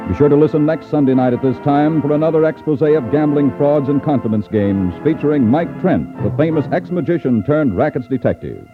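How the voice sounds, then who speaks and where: A man's voice: a little high, expressive, fairly quick, few pauses. A man reading a scripted announcement in the style of 1950s radio. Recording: tinny, phone-like 1950s radio recording. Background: faint music.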